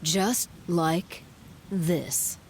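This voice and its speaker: A woman voicing a haughty, scornful goddess villain in a video game cutscene, speaking in a measured, condescending and theatrical way. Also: on the low side, steady tone, darkish tone, slight background noise. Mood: coolly amused. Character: haughty, scornful goddess, coolly amused villain behind it all.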